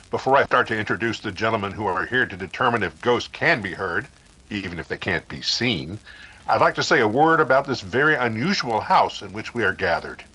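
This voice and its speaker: Male actor as vintage radio field announcer: formal and courteous, forceful brightness, slightly husky grain. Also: mid-range voice, poor audio.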